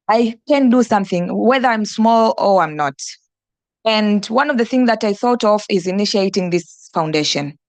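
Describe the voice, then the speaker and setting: A woman's voice: mid-range voice, no room sound. A young woman from Tanzania, an NGO director and teacher, answering on a panel with many fillers and restarts.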